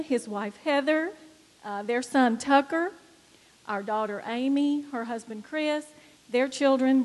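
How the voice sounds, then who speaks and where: A woman introducing her family members one by one on a church stage, speaking slowly. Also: on the high side, darkish tone. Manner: slow, listing family names. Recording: distant voice in a reverberant hall.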